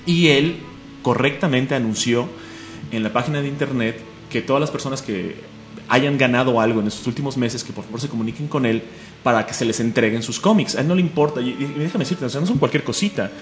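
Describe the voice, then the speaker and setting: A man's voice: mid-range voice, roomy sound, soft background music. A man with a Mexican accent hosting a comic radio show, joking and enthusiastic. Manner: fast, joking, enthusiastic, laughs often. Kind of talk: casual radio chat.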